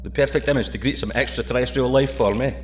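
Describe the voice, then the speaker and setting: Male actor as a joking Scottish sub pilot, middle pitch, faint ambience. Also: quick pace, a little hiss or hum, natural voice. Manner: cheeky, joking. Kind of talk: audio drama acting.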